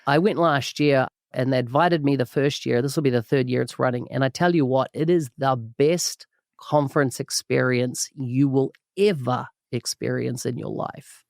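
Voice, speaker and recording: A man's voice: mid-range voice, steady tone, normal speed, gravelly, dry recording, studio quality. A man hosting a podcast, enthusiastic and full of praise. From New Zealand. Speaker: podcast host. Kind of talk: podcast interview.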